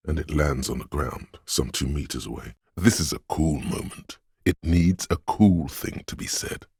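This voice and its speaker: Suave inner voice, extremely low pitch, wry, measured game narration, very dry close sound. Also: male voice, creaky, slightly rough, dry recording, heavily acted. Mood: wry, knowing. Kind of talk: second-person game narration.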